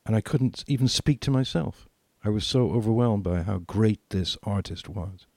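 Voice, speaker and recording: A man's voice: lowish voice, moderate pace, a little mellow, dry recording. An older British man reminiscing as a podcast co-host, repeating phrases for emphasis. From Britain.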